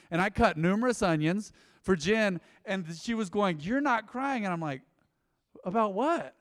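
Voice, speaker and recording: A man preaching a sermon as pastor, animated and conversational, with frequent asides to the congregation. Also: high-pitched, smooth, long reverb tail. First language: English. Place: church.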